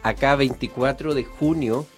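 A man hosting a radio show, measured and friendly, asking the guest questions. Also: mid-range voice, faint music behind. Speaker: radio host.

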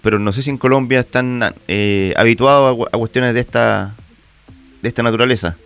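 A man's voice: medium pitch, fairly bright tone, smooth, dry recording, soft background music. A Chilean man hosting a radio interview, asking long questions with some restarts. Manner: thoughtful, halting questions with restarts. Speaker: radio host.

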